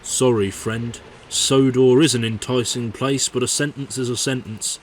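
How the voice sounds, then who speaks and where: A man's voice: mid-range voice, fairly even intonation, measured pace, a little hiss or hum, natural voice, rough recording. A man with a British accent voicing railway engines and railwaymen in a scripted storybook audio drama. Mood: earnest.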